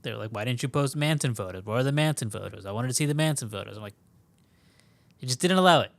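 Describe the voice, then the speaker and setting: Laid-back podcast co-host, dry close mic. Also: male voice, mid-range voice, expressive, quick pace, creaky, fairly bright tone, dry recording. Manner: laid-back, mimics complaining followers. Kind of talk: casual comedic chat.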